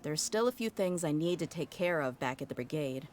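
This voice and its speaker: An easygoing woman mercenary in a game, her voice sitting low for a woman. She talks frankly and good-naturedly, with game music behind her. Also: lively intonation, brisk. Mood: apologetic. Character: tough friendly female desert mercenary, young adult. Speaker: mercenary, former bodyguard. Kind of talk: game voice acting.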